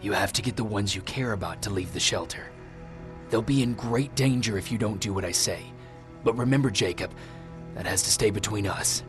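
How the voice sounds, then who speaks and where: Actor as a low-voiced, cryptic male stranger. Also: music in the background, close to an everyday voice. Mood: grave, cryptic. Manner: measured, cryptic, insistent. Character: mysterious male stranger, secretive and commanding. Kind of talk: video game cutscene acting.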